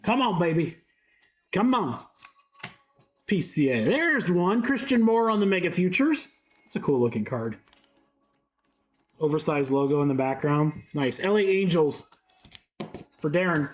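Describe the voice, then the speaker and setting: A man's voice: on the high side, sing-song, a little slow, long pauses. A congested young man with a head cold, chatting upbeat on a live stream while he rattles off cards. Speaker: card break streamer. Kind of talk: live-stream card break commentary.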